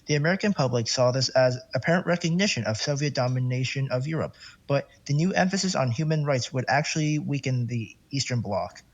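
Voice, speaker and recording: A man's voice: mid-range voice, brisk, lo-fi sound. A man reading a scripted educational narration for a documentary.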